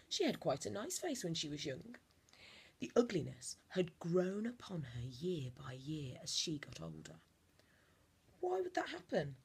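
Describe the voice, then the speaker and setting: Husky low-voiced woman reading a children's story. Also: darkish tone. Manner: theatrical emphasis with deliberate dramatic pauses.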